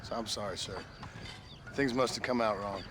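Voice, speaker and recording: An actor playing a weary, terse cowboy with an American accent and a dry, polite way of talking in a western game. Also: male voice, on the low side, moderate pace, noisy background, lightly acted. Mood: dryly deferential. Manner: laconic, dry. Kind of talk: western video game acting.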